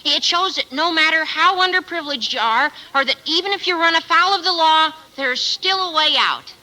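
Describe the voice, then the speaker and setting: An excitable teenage schoolboy in a 1950s radio comedy. The voice is extremely high and piercingly bright, cracking with adolescent eagerness. He talks in flowery, exaggerated turns. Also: moderate pace, full character voice, rough recording. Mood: chipper. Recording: old radio broadcast recording.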